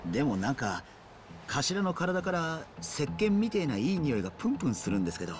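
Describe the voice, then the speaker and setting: An actor playing an earnest young yakuza underling in a video game, respectful yet wry, with rough clipped deference. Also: male voice, on the high side, big pitch swings, fairly quick, soft background music. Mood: suspicious. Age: young adult. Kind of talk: video game cutscene acting.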